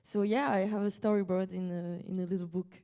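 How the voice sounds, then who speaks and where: A woman from Belgium whose first language is French, speaking English with a French accent. She is a guest singer answering audience questions at a live Q&A, and her English is halting and searching, with many fillers. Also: lowish voice, steady tone, warm tone.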